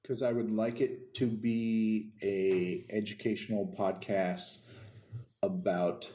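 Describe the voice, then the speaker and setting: A man with a lowish, soft-edged voice chats casually on a podcast. He answers in a dry, laid-back way, and the room around him echoes noticeably. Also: level tone, slow pace. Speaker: podcast co-host playing quiz contestant. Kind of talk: casual chat.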